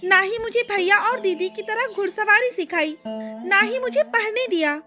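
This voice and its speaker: A woman's voice: very high-pitched, normal speed, bright, forceful tone, soft background music, pitched above the performer's own voice. A woman voicing a stubborn, hurt young princess in a cartoon story, with a childlike, insistent way of complaining. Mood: hurt, defiant.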